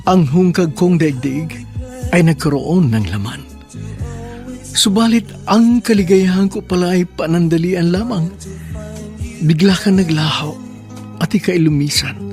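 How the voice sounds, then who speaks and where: A man's voice: high-pitched, unhurried, long pauses. Male radio drama host with music. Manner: warm, formal, polite address. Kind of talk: scripted romantic narration.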